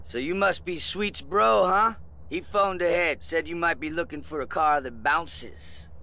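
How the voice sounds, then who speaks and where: Bright, forceful lowrider mechanic. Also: male voice, unhurried, lo-fi sound, slight background noise. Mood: friendly. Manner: casual, obliging. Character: helpful, easygoing lowrider car mechanic. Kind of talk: video game cutscene acting.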